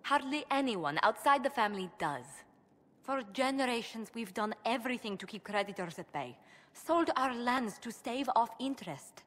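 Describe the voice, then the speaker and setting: A woman's voice: a little high, animated, normal speed, fairly bright tone. Young noblewoman, video game drama, proud, earnest, worried, formal diction, long reverb. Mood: grave, confiding. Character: proud, anxious young noblewoman diplomat, dutiful and composed. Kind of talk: video game cutscene acting.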